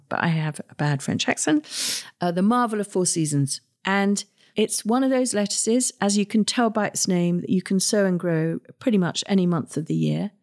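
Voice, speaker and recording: A woman's voice: lowish voice, a little mellow. A middle-aged woman hosting a gardening podcast, talking in a chatty, practical way with asides and restarts. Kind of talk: casual solo podcast talk.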